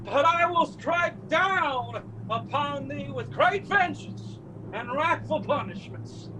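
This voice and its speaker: A stern radio-drama preacher with a very high, raised voice. He declaims scripture slowly and solemnly, over faint noise. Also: expressive, exaggerated voice. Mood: wrathful.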